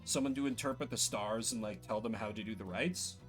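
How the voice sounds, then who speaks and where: A man's voice: on the low side, brisk, soft background music. A man giving casual livestream game commentary, musing and thinking aloud as he plays. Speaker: streamer playing and voicing a game.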